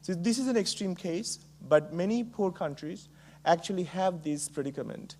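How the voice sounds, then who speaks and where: A middle-aged man from Bangladesh with a Bangladeshi accent, giving a conference keynote speech in a measured way with frequent ums and uhs. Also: high voice, a little mellow. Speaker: keynote speaker.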